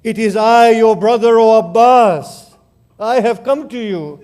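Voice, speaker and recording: A man's voice: sits high, a little slow, darkish tone, clear, resonant tone, voice pushed up. A man playing a grieving noble warrior, with anguished, pleading cries, as part of a spoken mourning narration. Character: grieving noble male warrior, elder brother.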